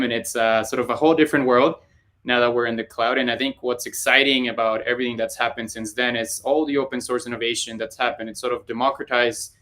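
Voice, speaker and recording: A man speaks as a guest on a live panel over a video call. His voice is mid-pitched, and he explains things step by step with plenty of "uh" fillers. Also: bright, forceful tone. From Colombia. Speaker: panel guest, data scientist. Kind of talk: conversational explanation.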